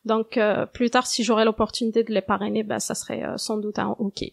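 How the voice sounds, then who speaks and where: A woman's voice: a little high, little pitch movement, a little fast, gravelly, no echo, studio quality. A woman of twenty-seven, answering questions conversationally as a podcast guest. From Algeria. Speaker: podcast guest, international student.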